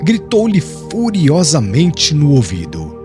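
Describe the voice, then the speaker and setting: A man's voice: on the high side, wildly swooping pitch, slow. A Brazilian man narrating an audiobook in a solemn, theatrical, formal literary style. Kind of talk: dramatic scripted literary narration. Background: background music.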